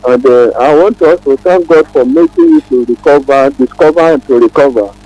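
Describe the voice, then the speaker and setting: A man's voice: on the high side, big pitch swings, a little fast, warm tone, rough recording. A man with a Nigerian accent phoning in to a radio show, earnestly exhorting and repeating his words. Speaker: radio phone-in caller. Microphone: phone call.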